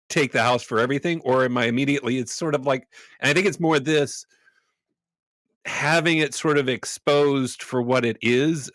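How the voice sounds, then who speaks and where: A man with a mid-pitched voice in a very dry recording talks casually as a player in a tabletop game. He thinks out loud and reflects as he goes. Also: dry recording. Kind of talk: casual roleplaying table chat.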